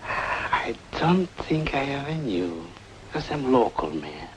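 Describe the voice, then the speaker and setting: A man's voice: mid-range voice, big pitch swings, slow, slight background noise, low-quality recording. A man playing a helpful, talkative small-town art shop owner, musing aloud in an old-time radio drama. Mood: reminiscing.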